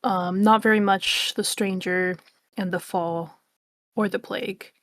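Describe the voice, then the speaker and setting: A woman's voice: medium pitch, steady tone, unhurried, a bit bright, dry recording. Woman in casual chat, dry sound. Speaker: stream co-host.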